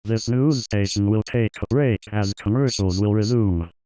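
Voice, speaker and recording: A man's voice: fairly low pitch, moderate pace, mellow, clean tone, dry recording, poor audio. A man reading a scripted news bulletin as an anchor.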